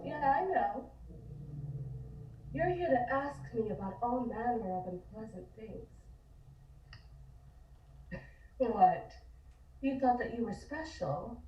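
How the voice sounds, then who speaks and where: Woman playing a sultry, unhurried, knowing lounge singer. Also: a little high, lively intonation, warm tone. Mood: knowing, teasing. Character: sultry, knowing female nightclub singer, confidently mysterious. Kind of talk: voice acting at an online script table read. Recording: muffled, echoey room, distant mic.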